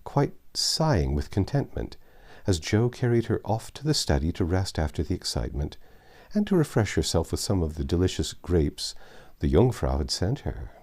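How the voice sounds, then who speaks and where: A man narrating a classic novel aloud in a measured, expressive storytelling manner. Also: deep voice. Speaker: audiobook narrator.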